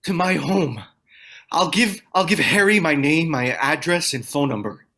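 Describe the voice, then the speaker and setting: A man's voice: fairly high pitch, lively intonation, measured pace, sharp tone, heavily acted. A man playing an anxious, protective husband and father in a table read. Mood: worried, urgent.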